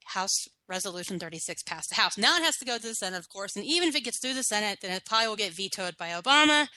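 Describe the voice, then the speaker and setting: A woman's voice: mid-range voice, bright, forceful tone, no echo, rough recording. A woman hosting a talk radio show, sarcastic and combative, firing off rhetorical questions, a little froggy from the end of a cold. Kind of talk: opinionated talk radio monologue.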